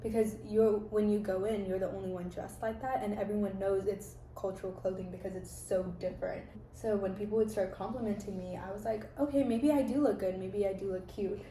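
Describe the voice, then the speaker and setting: A woman's voice: medium pitch, fairly even intonation, a little fast, few pauses, dark tone, reverberant, slight background noise, poor audio. A young American woman hosting a casual chat, telling stories about her life in an earnest, reflective way. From United States. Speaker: host.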